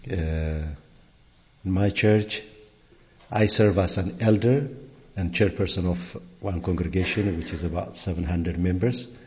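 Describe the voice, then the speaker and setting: An older man with an Ethiopian accent speaks in a deep voice at a slow pace. His church talk is informal and halting, sprinkled with small conversational asides, and it echoes in a reverberant hall. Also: steady tone, a little mellow, dull audio. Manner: halting, conversational, frequent "you know". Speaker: guest speaker, church elder. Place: large indoor church sanctuary.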